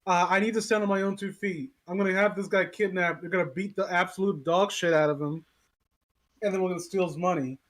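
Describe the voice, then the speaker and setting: A man's voice: high-pitched, steady tone, fairly quick, a bit bright. Incredulous, blunt, sweary man chatting. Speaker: podcast co-host. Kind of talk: casual chat.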